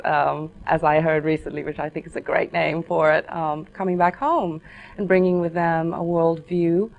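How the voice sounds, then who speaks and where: A young adult singer-songwriter from Ghana who answers interview questions in a reflective, earnest way. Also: female voice, fairly low pitch, creaky, darkish tone, lo-fi sound.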